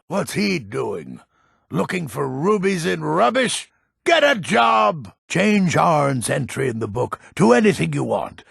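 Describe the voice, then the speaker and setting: An actor playing a grumpy, crafty old merchant in a fantasy video game, gravelly and husky, with a grumbling, theatrical delivery. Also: male voice, mid-range voice, no echo, studio quality, exaggerated voice. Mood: irritated, scolding. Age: older.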